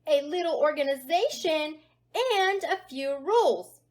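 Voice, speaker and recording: A woman's voice: high-pitched, lively intonation, unhurried, voice pushed up. A woman voicing a bossy, fed-up mother bear in a storybook, speaking with firm emphasis.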